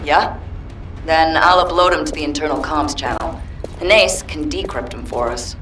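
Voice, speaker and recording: A woman's voice: low-pitched, normal speed, fairly bright tone, soft background music, low-quality recording. A woman playing a brash, down-to-earth soldier-mechanic in a video game, talking in a direct, colloquial way. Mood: eager, urgent. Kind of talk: video game character acting.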